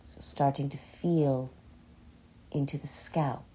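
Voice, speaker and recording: A woman leading a guided meditation in a soft, breathy voice, gently and unhurriedly, with long pauses between short phrases. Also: extremely low pitch, fairly even intonation. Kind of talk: slow, soothing guided meditation. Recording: dull, muffled lo-fi sound.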